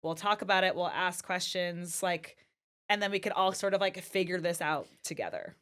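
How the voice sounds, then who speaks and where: A woman's voice: fairly low pitch, moderate pace, a bit bright, clean tone. Easygoing chatty woman co-host. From born in New York, raised near Boston, US. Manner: easygoing, chatty, says "like" often. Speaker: podcast co-host. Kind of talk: casual chat.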